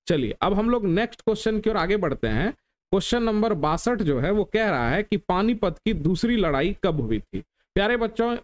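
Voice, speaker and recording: High-pitched male teacher. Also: darkish tone. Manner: instructive, repeats key points and asks rhetorical questions. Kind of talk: exam question lesson, explaining to students.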